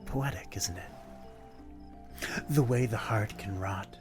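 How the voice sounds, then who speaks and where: Guy doing a sad polite vampire for asmr roleplay, really low voice, slow and formal, music behind. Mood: melancholy, poetic. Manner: formal, archaic, poetic phrasing, gentle and brooding. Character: lonely, courteous, melancholy male vampire stranger by a harbor.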